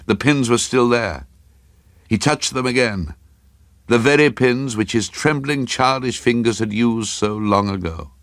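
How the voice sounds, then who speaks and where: Male narrator, low pitch, grave and suspenseful, dramatic ghost-story delivery, dry close sound. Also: dry recording. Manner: grave, suspenseful, deliberate phrasing.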